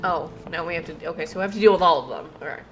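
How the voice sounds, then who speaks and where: A woman's voice: fairly low pitch, very fast, music in the background. A woman game streamer giving casual, playful, slangy commentary and reacting as she plays. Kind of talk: casual gameplay commentary, reading dialogue aloud.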